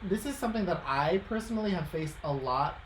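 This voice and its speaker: Low-voiced young American woman, echoey room. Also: expressive, a little fast. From United States. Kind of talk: casual chat. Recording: roomy echo, faint background noise.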